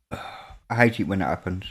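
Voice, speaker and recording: A man's voice: low-pitched, a bit bright, slightly rough. A man with a Birmingham accent hosting a casual live stream chat, talking loosely and repeating words as he goes. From England. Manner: chatty, stumbles and repeats words. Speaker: stream host.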